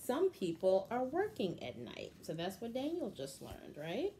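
A woman's voice: medium pitch, huge pitch swings, unhurried, a little hiss or hum. A woman in her thirties at home in a bedroom, patiently guiding and correcting her daughter during storytime. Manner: patient, corrects words gently. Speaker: mother reading with her daughter. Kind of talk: casual storytime coaching. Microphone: webcam or phone camera close by.

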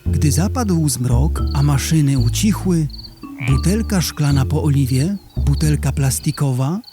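A man's voice: fairly high pitch, expressive, measured pace. A man narrating a scripted children's story as storyteller of an audio drama. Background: music.

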